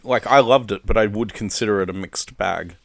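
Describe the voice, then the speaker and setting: A man's voice: lowish voice, fairly quick, creaky. A man co-hosting a casual film chat, explaining films with enthusiasm. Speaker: podcast co-host, film reviewer.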